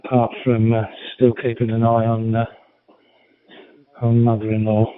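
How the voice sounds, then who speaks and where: A middle-aged or older man chatting as an amateur radio operator, halting and understated, over a radio link. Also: medium pitch, completely flat delivery, no echo. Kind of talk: casual radio chat. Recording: narrow-band, phone-like radio link.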